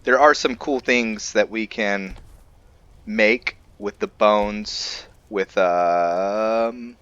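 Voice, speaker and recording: A man hosting a gaming channel, giving laid-back, halting roleplay commentary as he thinks aloud. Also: lowish voice, a bit bright, clean tone, dry recording, highs cut off. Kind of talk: casual roleplay game commentary.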